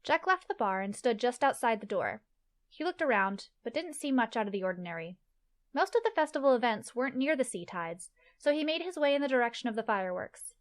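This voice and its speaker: Woman narrator for a fantasy short story, slightly high register, reading scripted prose. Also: big pitch swings, a little fast.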